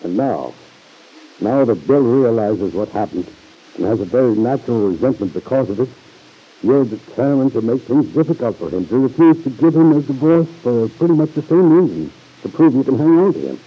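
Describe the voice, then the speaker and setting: An actor playing an earnest, middle-aged fatherly uncle in an old-time radio drama, gravely reproving in measured, formal phrases. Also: mid-range voice, expressive, highs cut off. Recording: vintage radio recording.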